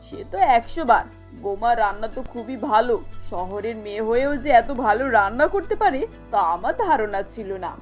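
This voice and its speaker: High-voiced motherly village mother-in-law played by a woman. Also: animated, normal speed, faint music behind, natural voice. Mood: approving. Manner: homely, motherly. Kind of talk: cartoon character acting.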